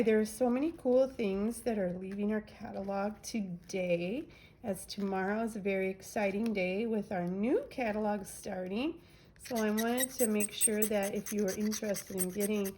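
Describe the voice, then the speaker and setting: Brief: woman, nasal, unhurried crafting vlogger talking through each step, faint hiss behind. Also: medium pitch, warm tone, low-quality recording. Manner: chatty, narrates each step while working. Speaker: craft demonstrator. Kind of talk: casual crafting demo.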